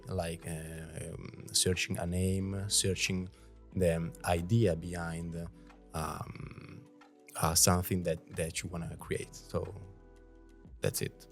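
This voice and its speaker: A man in his early twenties, a design student, answers interview questions haltingly, searching for words. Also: sits low. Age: 20s. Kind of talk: casual interview.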